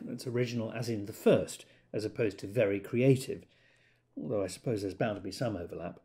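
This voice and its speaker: Actor as a mellow, gently rambling Quaker man. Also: mid-range voice, lightly acted. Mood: genial, halting. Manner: polite, digressive, understated. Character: gentle, rambling Quaker reading notices, a modest knitter. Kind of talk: audio drama monologue, addressing a meeting.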